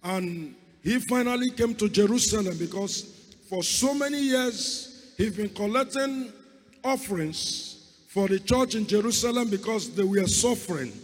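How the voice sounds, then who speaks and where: A male preacher who has lost his voice, hoarse and fervent, opening with a prayer and going on to a sermon. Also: high-pitched, a little slow, in a large hall. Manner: fervent, repeats calls to God. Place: church hall.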